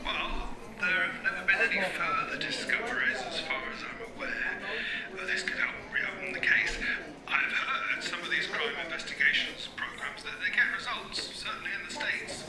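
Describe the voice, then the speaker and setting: A man's voice: high voice, normal speed, a loud crowd, close to an everyday voice. A man playing an elderly, reclusive author in an audio drama, hesitant and rambling but polite and hospitable. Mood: intrigued. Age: older. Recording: roomy, noisy, off-mic sound.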